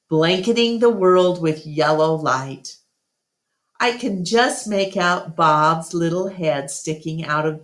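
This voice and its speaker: A woman reading a storybook aloud as narrator, in a measured and expressive way. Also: deep voice. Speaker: storytime reader. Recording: echoey room.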